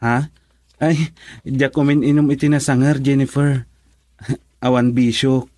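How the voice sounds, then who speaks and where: A man playing a deferential young-sounding driver in a radio drama, Filipino accent. He speaks politely and hesitantly in a medium-pitched voice, recorded dry. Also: level tone, measured pace, darkish tone, no room sound, close to an everyday voice. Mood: nervous, deferential. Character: deferential, bashful male driver of a rich couple.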